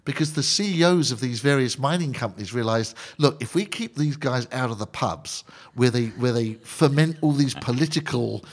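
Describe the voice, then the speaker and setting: A male conductor talking as a guest on a radio interview, with a voice of middling pitch. He explains with eager energy, cracks jokes and drifts into asides. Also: normal speed. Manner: enthusiastic, jokey, digressive explainer.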